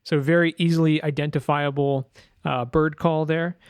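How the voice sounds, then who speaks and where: A man's voice: a little high, steady tone, normal speed, noisy background. A man talking casually through a screen-share tutorial as a sound designer, informal and loose, thinking aloud as he goes.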